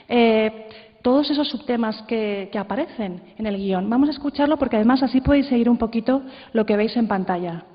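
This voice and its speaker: A woman's voice: fairly high pitch, dull audio. Spanish woman presenting in a reverberant hall. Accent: Castilian Spanish. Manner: informal, explanatory, seeks agreement with tags. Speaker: conference speaker. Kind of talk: presentation with slides. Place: large indoor conference room.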